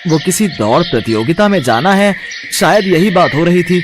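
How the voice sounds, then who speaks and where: A man's voice: fairly high pitch, expressive, moderate pace, background noise, lightly acted. A man playing a polite, mild working man in a children's story. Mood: respectful.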